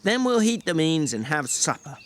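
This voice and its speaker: Guy doing bossy grumpy working man in an audiobook, brusque n scolding, voice up high. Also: big pitch swings, moderate pace, fairly bright tone. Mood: matter-of-fact, firm. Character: irritable, bossy working man, gruffly protective companion. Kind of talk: dramatic audiobook reading.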